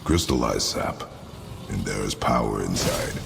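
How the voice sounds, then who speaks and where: An actor playing a stern warrior father in a video game, gruff and terse, with clipped commands. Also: measured pace, heavy background noise, heavily acted. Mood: grave, stern. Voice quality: deep, gravelly.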